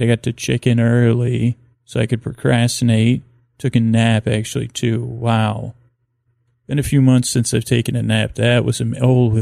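A man hosting a bedtime podcast, talking in a halting, meandering, improvised monologue with a creaky voice that drifts into tangents. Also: mid-range voice, a little mellow, dry recording, studio quality. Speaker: host of a bedtime story podcast. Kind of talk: rambling, improvised sleep-aid monologue.

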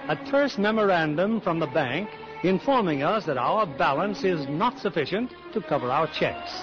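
A male radio host of the 1940s with a fairly high, very animated voice. He introduces and narrates from a script with formal, polished showmanship. The old broadcast sounds a bit dull.

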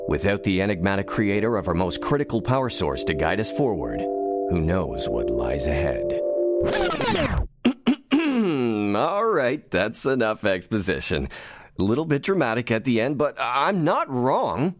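A man's voice: on the low side, big pitch swings, measured pace, music in the background. A man playing a wry, theatrical narrator of a scripted sci-fi comedy audio drama. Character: wry, dramatic storyteller explaining a sci-fi world.

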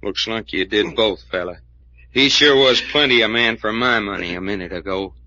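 An actor playing a hard-boiled, wry adventurer with a folksy way of talking, in an old-time radio drama. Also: male voice, lowish voice, normal speed, bright, forceful tone, no room sound. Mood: grim, wry. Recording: muffled vintage radio recording.

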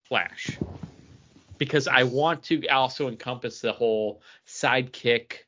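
A man with a mid-pitched, bright, forceful voice chats casually as a podcast co-host. The recording sounds slightly dull and band-limited. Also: unhurried, slight background noise. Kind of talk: casual chat among friends.

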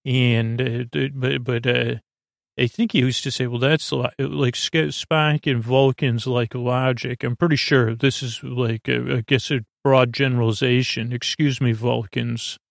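A man with a medium-pitched voice full of creak delivers a sleep podcast monologue. He meanders and stumbles, often restarting and losing his thread. The sound is very dry, with no room echo. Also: fairly even intonation. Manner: meandering, halting, full of digressions and false starts. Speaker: podcast host.

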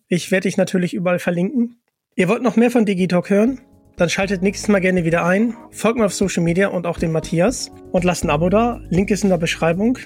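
A man with a high voice hosts a podcast in a casual, appreciative way. He addresses guest and listeners informally, and the sound is dry with little room in it. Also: a little mellow, no echo. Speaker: podcast host, interviewer.

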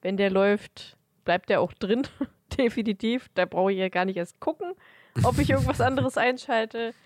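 A woman's voice: a little high, normal speed, no echo, clean studio sound. A woman co-hosting a podcast, talking casually about films. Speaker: podcast co-host.

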